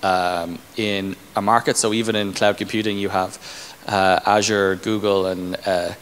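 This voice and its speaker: Thirties male tech leader, lowish voice, informal Q&A delivery, reverberant event room with background noise. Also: flat delivery, measured pace, a bit bright. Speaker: tech company engineering leader being interviewed. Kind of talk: conference Q&A, informal explanation. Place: indoor conference stage. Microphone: handheld microphone. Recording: echoey hall, clear background room noise.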